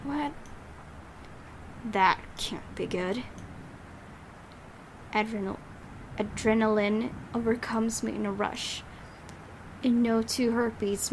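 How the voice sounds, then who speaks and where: A woman's voice: mid-range voice, creaky, noisy background. A young woman streamer reading a horror visual novel aloud, breaking off for chatty asides. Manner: reads narration with chatty asides. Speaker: gaming streamer. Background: loud game music.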